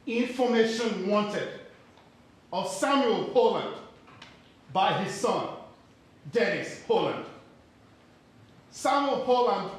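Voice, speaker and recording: Slow, high-voiced actor as a searching son. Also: male voice, fairly bright tone, heavily acted. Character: son formally seeking news of his enslaved father. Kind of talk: dramatic reading of a historical newspaper ad. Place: theatre or hall. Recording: very echoey hall.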